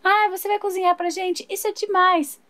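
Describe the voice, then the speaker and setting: A woman voicing a cheerful young female friend doll who answers the phone with high, gushing excitement. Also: moderate pace. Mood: excited.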